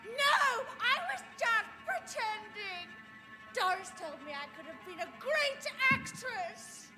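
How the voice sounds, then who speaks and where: Deranged mutant girl, piercingly high, drawn-out delivery, theatrical, vengeful, loud orchestral music. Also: very animated, full character voice. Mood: mad, taunting. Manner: shrill, taunting, theatrical. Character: deranged, theatrical young female killer, mutated and vengeful. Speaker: video game voice actor. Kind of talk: melodramatic video game acting.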